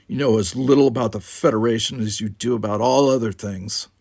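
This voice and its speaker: A man's voice: medium pitch, a little fast, creaky, darkish tone, no echo, studio quality. A man voicing a stern, commanding young Klingon warrior cadet in an audiobook, formal and sarcastic in manner. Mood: indignant. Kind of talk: audiobook character voice.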